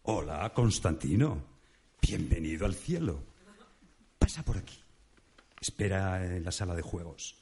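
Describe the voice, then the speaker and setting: Man playing low-voiced weary gatekeeper of heaven. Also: lively intonation, a little slow, pauses often, dark tone, a little hiss or hum. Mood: welcoming. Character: weary grumbling Saint Peter, gatekeeper of heaven. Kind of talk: comic radio play acting.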